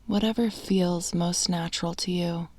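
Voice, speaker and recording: Hushed, low, even-toned woman guiding meditation. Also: no room sound. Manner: hushed, breathy, unhurried delivery with long pauses. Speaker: guided imagery meditation guide. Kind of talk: slow, soothing guided meditation.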